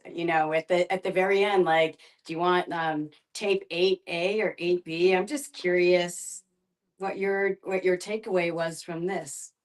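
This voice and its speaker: A woman facilitating a group discussion, speaking conversationally and reflectively, often pausing to find her words. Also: on the low side, fairly even intonation. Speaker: guest facilitator, end-of-life doula and filmmaker.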